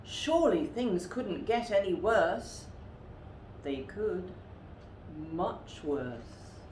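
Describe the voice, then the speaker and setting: A woman reads a children's story with theatrical emphasis. Her voice is fairly low and very animated, paced unhurriedly, in a room with audible echo. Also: drawn-out words. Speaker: storytime reader. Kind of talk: expressive children's story reading. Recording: roomy echo with faint background noise.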